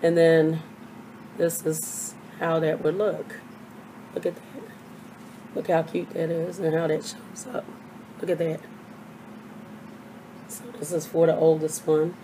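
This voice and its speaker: An older woman, hoarse from a cold, chatting casually through a haul video at her webcam with lots of fillers. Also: low voice, level tone, slow, long pauses, background noise. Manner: chatty, rambling with many fillers. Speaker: vlogger. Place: small bedroom. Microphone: webcam at desk.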